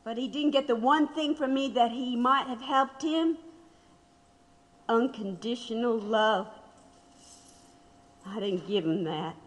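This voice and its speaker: A woman playing a condemned man's grieving wife, reflective and bitter, telling her story in an audio drama with measured regret. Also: fairly high pitch. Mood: regretful. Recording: long hollow reverb.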